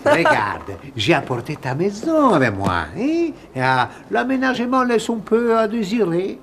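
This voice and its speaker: An actor playing a dreamy, excitable sea captain in a cartoon, with a theatrical, musing manner. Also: male voice, high voice, measured pace, creaky, a little hiss or hum, exaggerated voice. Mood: cheerful. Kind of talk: French cartoon dub acting.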